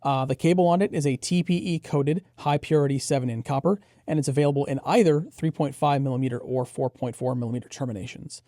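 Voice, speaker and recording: A man's voice: mid-range voice, fast, hardly any pauses, mellow, no echo, clean studio sound. A man co-hosting a product review, explaining and giving his impressions in a conversational way. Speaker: headphone show co-host reviewer.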